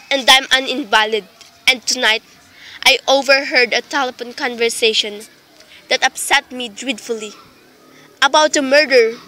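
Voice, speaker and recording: A woman's voice: high voice, pushed and bright. A woman playing a nervous, demanding invalid wife in a dramatic radio play. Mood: anxious, insistent. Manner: imperious, dramatic, fretful.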